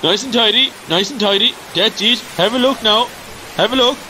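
A man playing a brisk, bossy Irish hurling coach in an amateur radio drama, giving clipped instructions. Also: high-pitched, lightly acted. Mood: brisk, instructing. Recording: very noisy outdoor-like ambience.

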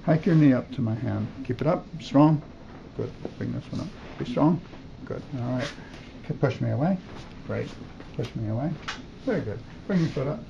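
An older male doctor runs a clinical exam in short, encouraging commands. His voice is mellow and creaky, picked up a little dull and noisy in a hospital room. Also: medium pitch, expressive, moderate pace. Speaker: doctor examining a patient.

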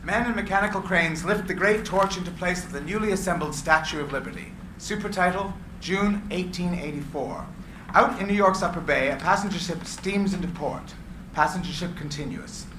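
A man reading the stage directions aloud at a live script reading, evenly and clearly. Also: high voice, moderate pace, bright, forceful tone. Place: small room with seated audience. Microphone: far camera. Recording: echoey room with faint hiss.

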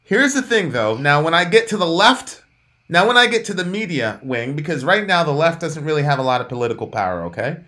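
A man in his thirties, originally from Jamaica, co-hosting and arguing politics heatedly over a video call from a dark room at home, talking emphatically and gesturing. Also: on the high side, big pitch swings, a little fast. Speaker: co-host.

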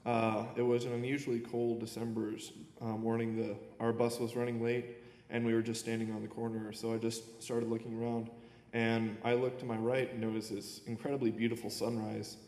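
A man's voice: medium pitch, completely flat delivery, brisk, darkish tone. A young man speaking at a podium as a poet, presenting his own work in an informal, slightly hesitant way. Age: young adult. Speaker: poet reading own poems. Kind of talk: live poetry reading with informal introductions. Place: indoor auditorium at a podium. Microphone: gooseneck podium microphone. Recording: echoey auditorium, long reverb, background noise.

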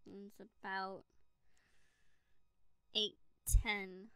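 Slow-talking devoted young girlfriend, acted by a woman. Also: mid-range voice, flat delivery, vocal fry, pushed and bright, clear, resonant tone, dry recording, exaggerated voice. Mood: dismayed, self-pitying. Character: devoted young girlfriend, eager then self-pitying in rain. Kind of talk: slow ASMR roleplay monologue. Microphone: close USB condenser microphone.

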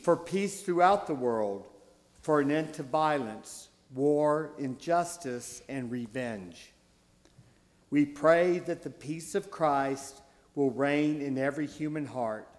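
An older man reading the prayers of the faithful at a church lectern mic, slow and deliberate, with pauses. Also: on the high side. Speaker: deacon reading intercessions. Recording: reverberant church hall.

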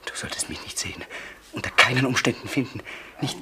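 An actor playing a tormented man confessing in a crime radio play, haltingly, often dropping toward a whisper. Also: mid-range voice, sharp tone. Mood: agitated, accusing. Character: tormented man confessing a killing to his brother. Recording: slightly noisy old radio play recording.

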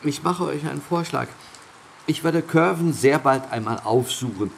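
An actor playing a polite, curious gentleman newcomer in a German audio drama, speaking in an articulate, courteous way. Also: a little high, lively intonation, moderate pace, vocal fry, a little hiss or hum.

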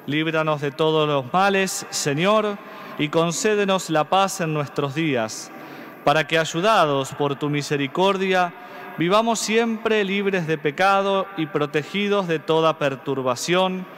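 Argentine priest praying mass real slow, bit high voice, big church echo. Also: male voice, a bit bright. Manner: slow solemn recitation. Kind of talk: liturgical prayer. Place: large church. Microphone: stand mic at altar. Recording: long church reverb.

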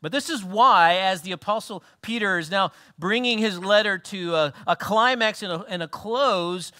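Middle-aged New man, pastor at a church lectern; bright, slightly high voice, earnest sermon delivery with soft dips and emphatic peaks. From New Zealand. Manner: earnest, swings between soft lows and emphatic rises. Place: church hall with wooden wall.

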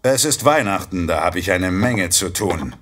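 A man acting in a German horror audio drama as a vengeful Santa, gruff, sardonic and threatening. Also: low-pitched, lively intonation, normal speed, noisy background. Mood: grimly determined.

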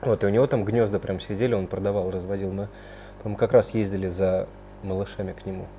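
A man's voice: deep voice, steady tone, fast, dark tone. A man hosting a casual video tour in informal, slangy, enthusiastic speech, indoors. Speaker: host of an aquarium video series. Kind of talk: casual vlog tour chat. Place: indoors, room with large aquariums. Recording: noticeable background noise.

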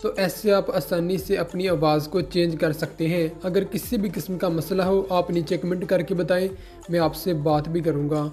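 A man's voice: high voice, fairly even intonation, normal speed, a little mellow, faint music behind. A man giving an informal app tutorial voice-over, instructing the viewer directly step by step and repeating himself. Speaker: host of a tech tutorial channel.

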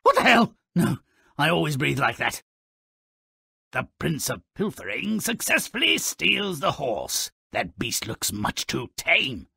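A man voicing a gruff, mischievous gnomish storybook narrator for a video game, with a raspy, gravelly voice and a sardonic, pompous manner. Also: fairly high pitch, huge pitch swings, unhurried, sharp tone, dry recording, studio quality, heavily acted. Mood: gleeful, mocking. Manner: sardonic, pompous, alliterative, relishing each word.

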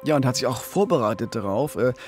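A man's voice: medium pitch, expressive, fast talker, a little mellow, soft background music. A middle-aged man co-hosting a true-crime podcast, telling a case conversationally into a studio microphone. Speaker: podcast co-host, crime reporter. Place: small radio studio. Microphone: studio microphone with pop filter, headphones.

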